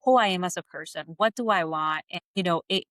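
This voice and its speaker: A woman's voice: fairly low pitch, brisk, fairly bright tone, no room sound. A woman in her thirties hosting a casual coaching interview in a conversational style. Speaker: podcast host and coach.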